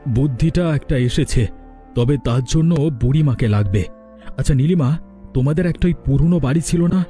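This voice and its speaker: A man playing a firm, protective village man in a cartoon drama, speaking in a measured, resolute way. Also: mid-range voice, warm tone, soft background music, natural voice. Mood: determined, plotting. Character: resolute village man, protective avenging elder brother figure.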